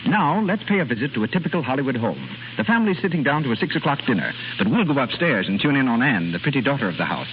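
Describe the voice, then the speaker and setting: A man's voice: medium pitch, sing-song, fast, hardly any pauses. An American man announcing and selling in polished, persuasive 1930s radio style. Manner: polished, persuasive sales delivery. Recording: muffled, noisy 1930s radio recording.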